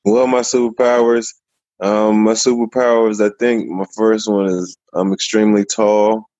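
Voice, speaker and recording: Deep-voiced man, easygoing interview guest. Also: flat delivery, a little mellow, no room sound, lo-fi sound. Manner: easygoing, measured, with frequent pauses. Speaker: podcast guest. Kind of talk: casual interview answers.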